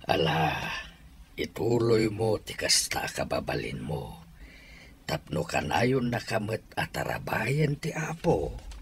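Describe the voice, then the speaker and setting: A man with an Ilocano accent playing a kind old grandfather who gives gentle advice in a radio drama. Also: lowish voice, very animated, slow pace, gravelly, slight background noise, heavily acted. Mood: gently advising.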